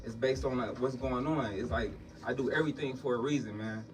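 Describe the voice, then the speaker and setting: A man's voice: medium pitch, fairly even intonation, a little fast, far from the mic, music in the background, rough recording. A man from Chicago and Detroit, answering interview questions in a laid-back, slangy way and repeating himself. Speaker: rapper and podcast guest. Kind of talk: casual interview.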